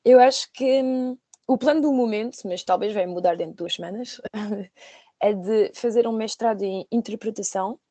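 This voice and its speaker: Woman, 20s, podcast guest. Soft-edged mellow tone, close dry sound, hesitant conversational delivery. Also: medium pitch, moderate pace, no echo. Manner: hesitant, searching for words with repetitions. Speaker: podcast guest, former trainee. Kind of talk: conversational interview answers.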